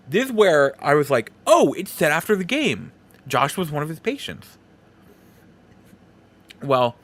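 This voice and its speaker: A man's voice: medium pitch, a bit bright. A man hosting a podcast, talking casually and informally through a solo movie review with rambling asides. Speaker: podcast host.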